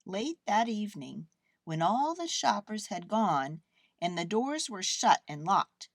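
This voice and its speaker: A woman narrating a children's storybook as an expressive storyteller. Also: mid-range voice, a little slow, no room sound, studio quality.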